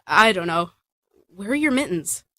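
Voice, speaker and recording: A woman's voice: mid-range voice, big pitch swings, normal speed, bright, forceful tone, no echo. A bold, protective young woman played by an actress in a radio drama. She is wry and impatient, teasing in an everyday mid-range voice, recorded dry. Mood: concerned, urgent. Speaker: radio play actor. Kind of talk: modern radio drama acting.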